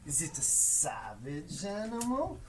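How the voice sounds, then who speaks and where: A woman's voice: lowish voice, wildly swooping pitch, a little slow. A woman playing a joking, self-pitying bachelor party guest in a live amateur stage play. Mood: playful. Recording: live stage, distant mic, clear noise.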